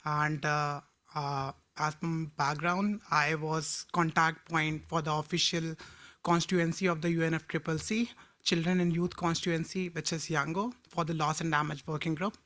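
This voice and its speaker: Man from India, higher voice, flat delivery, polite halting intro. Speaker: youth representative. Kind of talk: brief self-introduction.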